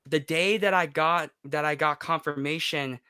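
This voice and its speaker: A man's voice: fairly high pitch, moderate pace, sharp tone, smooth, no echo, clean studio sound. A man in his twenties talking candidly as an interview guest, emotional and emphatic, repeating phrases for stress. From United States. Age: 20s. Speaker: podcast guest, YouTuber and voice actor. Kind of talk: candid personal interview chat.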